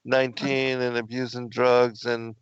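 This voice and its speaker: A man hosting a casual interview, asking long, relaxed questions full of fillers. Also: mid-range voice, measured pace, clear, resonant tone, no echo, lo-fi sound. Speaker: host.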